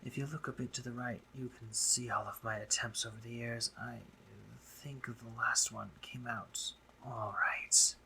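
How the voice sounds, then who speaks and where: A man playing a doting, teasing gentlemanly spider-monster painter in a romantic roleplay audio drama, with genteel phrasing, hesitant pauses and drops into whispers. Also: medium pitch, little pitch movement, a little hiss or hum, poor audio. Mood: wistful, modest.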